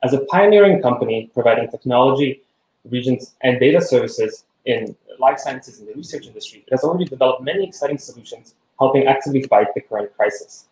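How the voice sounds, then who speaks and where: A man's voice: medium pitch, lively intonation, fast talker, darkish tone. A man in a mid-range voice opens a conference session with a formal, polished introduction. The sound is echoey, like a roomy space. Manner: polished, announcing tone. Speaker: conference host. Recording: echoey room.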